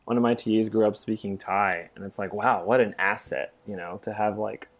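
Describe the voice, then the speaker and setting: A man's voice: fairly low pitch, fairly even intonation, fairly quick. A middle-aged man co-hosting a casual podcast discussion, rambling a bit with self-deprecating humor. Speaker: podcast co-host, music theory teacher.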